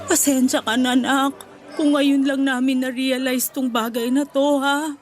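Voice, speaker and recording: A woman playing a middle-aged mother in a radio drama, pleading tearfully with her eldest child for forgiveness. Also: high-pitched. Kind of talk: Tagalog radio drama acting. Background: soft background music.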